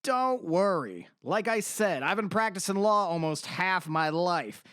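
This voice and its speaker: A man's voice: sits high, lively intonation, measured pace, a bit bright, clear, resonant tone, no echo, clean studio sound. A man playing a formal, polite, slightly hesitant middle-aged defense lawyer in an audio drama. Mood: assured, earnest.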